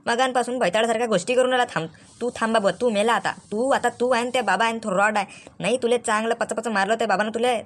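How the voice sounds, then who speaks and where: A woman's voice: a little high, fairly even intonation, fast, lightly acted. A woman voicing a hot-tempered, threatening male tuition teacher in a comic cartoon, with a Vidarbha Marathi accent and scolding, repeated questions. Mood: furious.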